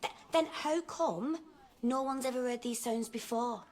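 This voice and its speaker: Sceptical, practical woman, fairly high voice played by an actress. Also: measured pace, darkish tone, natural voice. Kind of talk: naturalistic radio drama acting.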